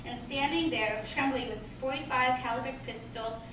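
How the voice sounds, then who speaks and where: Female radio play narrator, high-pitched, scripted storytelling, heard from afar in a very reverberant space. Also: moderate pace. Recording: very echoey hall, distant mic, clear noise.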